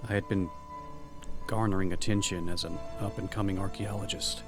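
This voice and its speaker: Man playing a gravelly, musing ex-professor. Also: low-pitched, steady tone, brisk. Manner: earnest, musing inner monologue. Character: obsessive male former archaeology professor. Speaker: voice actor in a fan manga dub. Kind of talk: fan manga dub narration and dialogue. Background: music.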